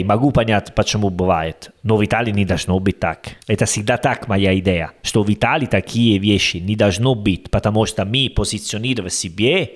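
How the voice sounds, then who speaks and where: Italian guy guesting on a podcast, lowish voice, stops to hunt for words, laughs a lot. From Italy. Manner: halting, searches for words, laughs often. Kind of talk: casual chat.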